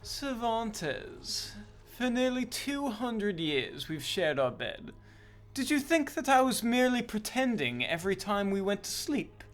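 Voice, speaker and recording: A man playing a jealous, wounded vampire in a dark comedy audio drama, prim and theatrically indignant. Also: high voice, big pitch swings, measured pace. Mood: bitterly sarcastic. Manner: indignant, pouting, melodramatic.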